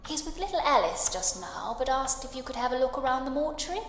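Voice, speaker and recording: A woman playing a caring, gently worried Victorian wife in a ghost story game, speaking in a proper, affectionate manner. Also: high voice, very animated, quick pace. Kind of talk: video game voice acting. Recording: echoey long reverb with music. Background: music.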